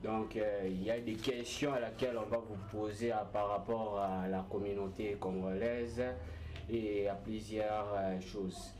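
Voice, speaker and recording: A man's voice: fairly low pitch, little pitch movement, a little slow, a little mellow, a little hiss or hum. A male interview host who chats casually, switching between French and Lingala and pressing his guest with follow-up questions.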